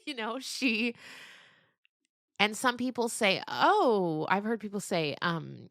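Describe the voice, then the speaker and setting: A woman being interviewed as a novelist, telling stories casually in an animated, rambling way with emphatic descriptions. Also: mid-range voice, slow, dry recording, clean studio sound.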